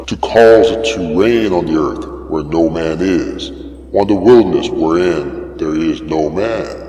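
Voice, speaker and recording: Guy doing god in a bible drama, super deep voice, slow and stern, commanding, solemn questions, big echoey reverb. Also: dark tone. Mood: grave, questioning. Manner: solemn, declamatory, challenging questions. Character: God speaking from a whirlwind, stern, majestic, commanding. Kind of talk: dramatic scripture reading. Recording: long cavernous reverb over music.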